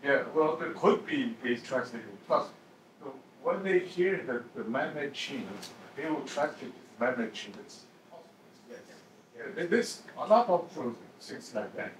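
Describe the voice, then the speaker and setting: A man's voice: fairly high pitch, lively intonation, takes it slowly. Brief: man, audience commenter, faltering delivery, faint hiss behind. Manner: hesitant, many filler sounds. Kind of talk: academic Q&A comment. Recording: echoey room, distant mic, faint noise.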